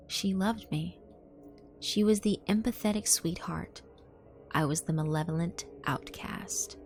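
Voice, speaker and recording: A woman narrating a first-person horror story in a measured, dark way, with hushed asides. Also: low voice. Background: faint background music.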